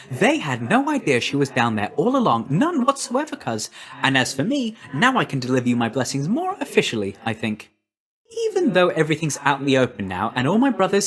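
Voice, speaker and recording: An actor playing a quick, chipper, cheeky young messenger god in a video game, with breezy, chummy, informal patter. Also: male voice, fairly high pitch, a bit bright. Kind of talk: video game character voice lines.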